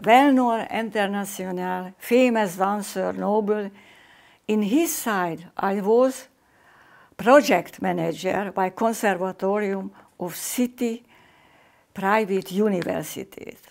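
An older woman with a Hungarian accent delivers a formal welcome address in careful, halting English. She speaks very slowly and deliberately at a middle pitch, recorded indoors facing the camera. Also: vocal fry, darkish tone. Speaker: competition artistic director. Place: indoor room with painting behind.

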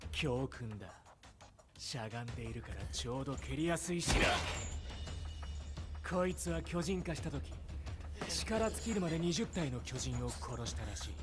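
An actor playing a cold, blunt male squad captain who speaks low and cutting, over game music. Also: natural voice. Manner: low, flat, cutting delivery. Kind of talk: anime game cutscene acting.

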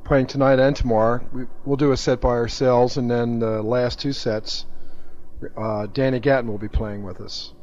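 A man's voice: mid-range voice, fairly even intonation, normal speed, a little mellow. A man speaking casually as a musician guest in a radio interview. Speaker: interview guest, band drummer. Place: radio station studio. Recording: dull, noisy 1982 AM radio aircheck.

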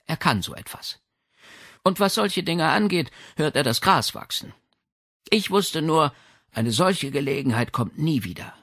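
A man's voice: a little high, animated, measured pace, a bit bright, dry recording, clean studio sound, pitched above the performer's own voice, exaggerated voice. Man voicing a haughty, imperious signora. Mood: proud. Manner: condescending, commanding. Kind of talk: audiobook character dialogue.

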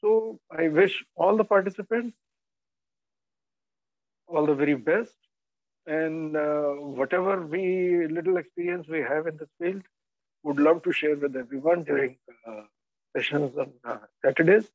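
Man with a cold, halting. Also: fairly high pitch, dry recording. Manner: halting, many filler pauses. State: has a bad cough and cold. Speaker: cyber forensics instructor. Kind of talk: webinar introduction. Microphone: video call. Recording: dull lo-fi video call audio.

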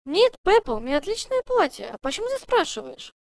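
A woman voicing a kind, contented mummy pig in a children's cartoon, sweet and expressive. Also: very high voice, fairly quick, no room sound, studio quality, heavily acted. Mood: kindly, content.

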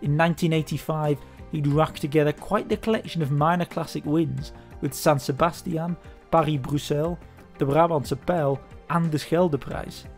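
A man narrating a scripted sports history documentary in a steady, measured way. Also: fairly high pitch. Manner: steady, measured storytelling delivery. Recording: voice-over with faint background music.

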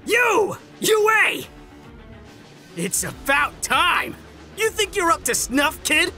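An actor voicing a theatrical, impatient villain in a comic dub, shouting and taunting. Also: high voice, very animated, measured pace, full character voice. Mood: exasperated, taunting. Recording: music behind.

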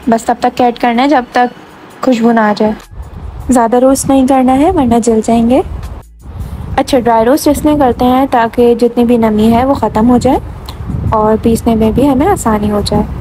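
A woman talks through a home recipe in a calm, explanatory way, voicing over her cooking. Her voice sits a little high and mellow, with soft music playing faintly behind her. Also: moderate pace, rough recording. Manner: calm, instructive, explains each step. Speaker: cooking channel host. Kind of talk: step-by-step recipe narration.

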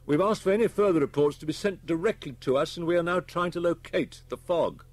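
British chief inspector as a male narrator plays him, brisk, official, raised pitch, husky, audiobook. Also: natural voice. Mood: matter-of-fact. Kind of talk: audiobook character voice.

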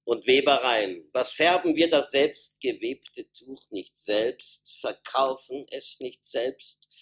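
A man's voice: medium pitch, slow, a bit bright. An actor playing an enterprising, earnest townsman counselling a ruler in a radio play, in a declamatory manner. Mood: persuasive. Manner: declamatory, questioning.

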